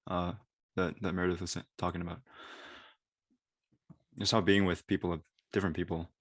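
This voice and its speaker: A man's voice: sits low, no echo. A man moderating a casual discussion, informal and tentative, feeling his way through his phrasing.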